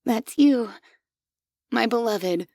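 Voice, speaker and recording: A woman voicing a devoted, obsessive female maid robot in a scripted ASMR roleplay. She speaks softly and in measured phrases, close to the mic. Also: on the high side, dry recording. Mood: eager, obsessive.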